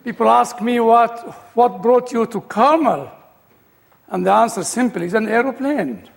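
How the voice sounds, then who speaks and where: A man's voice: very high-pitched, animated, measured pace, creaky, big-room sound. A man with an Egyptian-British accent, an older guest speaker giving his personal testimony with dry humor.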